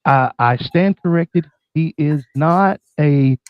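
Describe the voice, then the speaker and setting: A man with a scratchy, bronchitis-worn voice, casually hosting a live stream chat in a folksy, halting way. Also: on the high side, smooth, dry recording, highs cut off.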